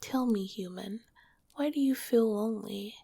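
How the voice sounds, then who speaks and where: A gentle slime monster girl whispers close to the ear, as a woman acts her out, her breathy voice catching in a gravelly fry. She teases a little, then turns tender and comforting. The sound is dry and intimate, as in an ASMR roleplay. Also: medium pitch, measured pace, no echo. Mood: teasing whisper. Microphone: close mic.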